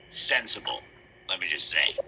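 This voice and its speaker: A man playing a hungry, hapless Big Bad Wolf in a comic children's story, hesitant and rambling with deadpan asides. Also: sits low, noisy background, heavily acted.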